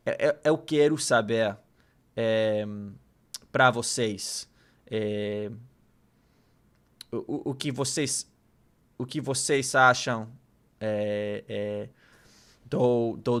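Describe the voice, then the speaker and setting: Slow, halting man learning Portuguese. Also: mid-range voice, a bit bright. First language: English. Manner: hesitant, repeats words while searching for Portuguese. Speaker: language learner and vlogger. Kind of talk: casual study vlog, thinking aloud.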